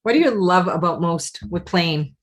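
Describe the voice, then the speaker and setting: A woman's voice: fairly low pitch, animated, moderate pace. A woman hosting a podcast, asking short, friendly questions in a casual interview. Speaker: podcast host.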